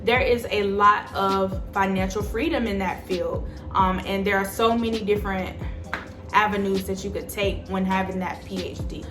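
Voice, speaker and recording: A woman's voice: medium pitch, steady tone, moderate pace. Woman, twenties, chatty vlogger, emphatic, roomy echo, faint music behind. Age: 20s. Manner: chatty, emphatic, addresses viewers as y'all. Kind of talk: casual advice chat to camera.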